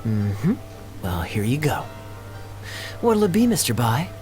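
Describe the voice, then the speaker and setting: An actor playing a carefree, condescending rich gambler in a video game, with a nonchalant, teasing manner. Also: male voice, medium pitch, big pitch swings, takes it slowly, a little mellow, soft background music. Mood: breezy. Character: smug, carefree rich male gambler, breezily taunting.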